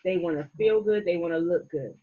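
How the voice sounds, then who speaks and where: A woman hosts a relaxed interview with an upbeat, motivational push in her talk. Her voice is husky and a little breathy, and the recording sounds dull and lo-fi. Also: on the low side, a little fast. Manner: lively, encouraging, motivational. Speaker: host. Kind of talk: casual interview chat.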